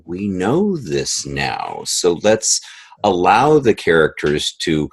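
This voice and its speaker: An older man speaks conversationally as a guest producer. His voice is deep and noticeably husky, recorded very dry with no room sound. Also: huge pitch swings, slow, no echo. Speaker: audio drama producer and composer, interview guest. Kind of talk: conversational interview.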